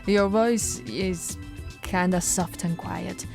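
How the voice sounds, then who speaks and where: A woman voicing a patient, teasing female police officer in an ASMR audio roleplay, speaking softly and coaxingly to the listener, recorded close to the mic. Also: on the low side, steady tone, takes it slowly, vocal fry, soft background music. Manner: soft-spoken, coaxing, playfully flirtatious. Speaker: ASMR voice actress.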